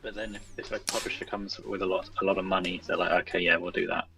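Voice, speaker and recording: A man's voice: low-pitched, level tone, quick pace, background noise. A man speaking as a guest game developer, chatting casually. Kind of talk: casual chat. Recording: distant mic with music underneath. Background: music.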